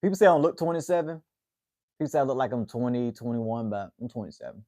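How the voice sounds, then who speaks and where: A man's voice: mid-range voice, lively intonation, quick pace, dark tone, no room sound. Laid-back twenties man, dry close sound. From United States. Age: 20s. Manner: laid-back, drawls "man" at the ends of phrases. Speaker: livestream host. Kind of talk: casual livestream chat with viewers.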